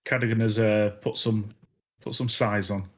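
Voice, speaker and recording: A man's voice: fairly low pitch, little pitch movement. A man talking casually as a player in an online tabletop game, often repeating words as he gathers his thoughts. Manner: halting, repeats words while finding his point.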